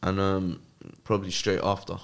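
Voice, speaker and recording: A man's voice: low voice, little pitch movement, normal speed, gravelly, clean tone. A man with a London accent chatting casually as a podcast guest, very informal, with slang and frequent tag questions. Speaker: podcast guest, music artist. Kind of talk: casual chat.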